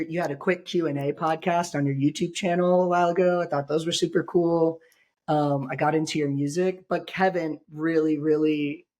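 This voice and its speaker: Raspy, hoarse man hosting a podcast. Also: a little high, darkish tone. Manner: rambling, joking, lots of fillers. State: sick, hoarse. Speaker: podcast host. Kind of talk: casual podcast chat.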